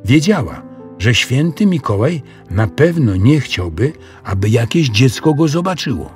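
A man's voice: mid-range voice, sing-song, a little slow, darkish tone. A man narrating a scripted children's story as a storyteller. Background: music.

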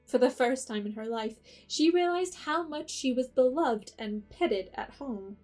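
A woman narrating an audio drama in a measured storybook reading. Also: high-pitched, expressive, a little mellow, soft background music. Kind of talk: scripted narration.

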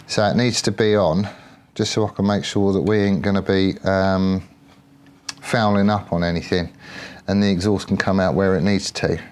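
Low-pitched English man thinking out loud. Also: flat delivery, moderate pace, smooth. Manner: thinks out loud, chatty and colloquial. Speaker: motorcycle builder presenting a workshop project. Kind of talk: casual off-the-cuff workshop commentary.

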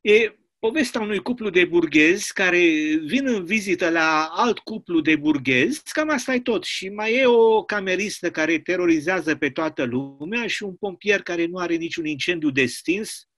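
A man's voice: high voice, expressive, few pauses, fairly bright tone. A man reading a play as a meek imprisoned poet who explains himself in a hesitant, evasive way. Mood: sheepish. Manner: hesitant, stammering, self-justifying.

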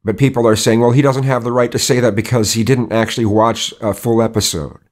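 A hoarse middle-aged man talking casually to camera in a rambling opinion vlog, full of restarts and rhetorical questions. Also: medium pitch, steady tone, fairly quick, darkish tone. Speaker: vlogger. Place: indoor studio with colored backdrop.

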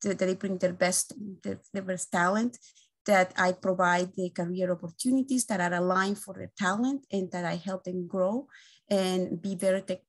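A woman, giving an earnest, halting webinar presentation as an engineering team lead, often pausing on "uh", over a video call from an indoor room. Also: medium pitch. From Guatemala. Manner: earnest, halting, with frequent "uh" fillers and restarts. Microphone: video call webcam.

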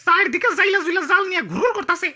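Lady voicing a naggy cartoon fish queen, super high, bright, rattles on fast. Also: heavily acted. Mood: scolding. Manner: scolding, fast, whiny. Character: nagging fish queen, female, demanding.

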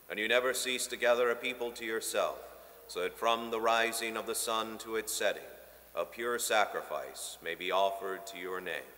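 Solemn priest at the altar, church reverb. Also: male voice, fairly low pitch, fairly bright tone. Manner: solemn, measured recitation with slowed key phrases. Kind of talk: liturgical prayer at Mass. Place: large marble church sanctuary, at the altar. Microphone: stand microphone at the altar.

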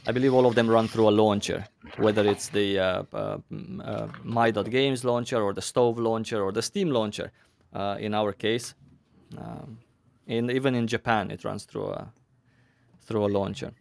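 A man hosting a livestream panel on a headset mic, reading viewer questions aloud with many pauses and fillers. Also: lowish voice, steady tone, gravelly, dry recording. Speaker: host.

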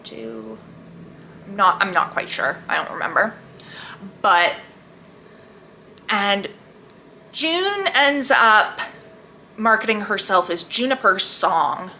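A woman with a bright, forward voice talks casually as a book vlogger. Her delivery is animated and emphatic, with a gushing, chatty feel. There is some faint noise behind her. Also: medium pitch.

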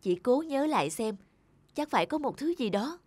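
A woman's voice: a little high, big pitch swings, normal speed, darkish tone, dry recording. A woman voicing a caring cartoon teacher. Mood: gentle. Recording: clear background music.

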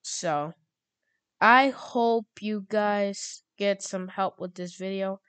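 Female tutorial host, casual and halting, clear-voiced, closely recorded with no room sound. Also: mid-range voice, fairly even intonation, fairly bright tone, no room sound.

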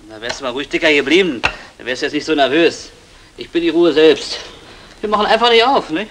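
Actor as a quick, nervy, high-voiced male crook. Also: background noise, close to an everyday voice. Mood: uneasy, fretful. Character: nervous, reluctant male crook, the cautious sidekick. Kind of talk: 1960 German radio crime play acting.

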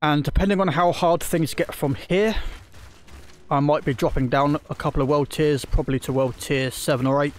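A man's voice: fairly high pitch, fairly even intonation, normal speed. A man giving casual let's-play commentary, rambling with lots of ums and restarts, recovering from a throat infection. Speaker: gaming YouTuber.

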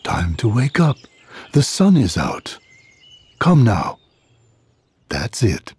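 An actor playing a kindly, fatherly middle-aged innkeeper in a video game, gentle and folksy in manner. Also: mid-range voice, huge pitch swings, slow, husky, dry recording, full character voice. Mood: gentle concern.

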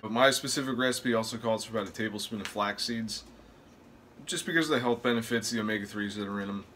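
A man in his twenties talking casually to camera in a vlog, relaxed and a bit self-deprecating, first from a parked car, then in a small kitchen. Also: fairly low pitch, fast, soft background music. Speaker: vlogger.

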